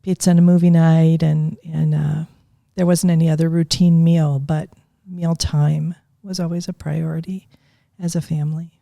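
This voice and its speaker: Woman, 50s, low gravelly voice, dry close recording, unhurried and thoughtful. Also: little pitch movement, dark tone, no room sound. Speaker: guest. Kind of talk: casual interview chat.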